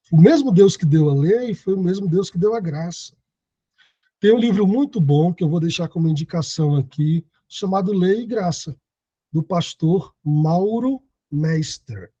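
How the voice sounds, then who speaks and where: A man's voice: a little high, animated, unhurried, warm tone, husky, dry recording, highs cut off. A man in his thirties teaching an online Bible study lesson, reading scripture aloud and explaining it. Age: 30s. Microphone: video call.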